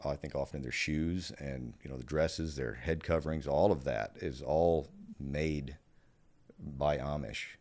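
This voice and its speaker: A man who grew up speaking Pennsylvania Dutch, with that accent, talking as a podcast guest in a thoughtful, halting way with many fillers and restarts. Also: a bass voice, a little mellow. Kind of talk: conversational podcast interview.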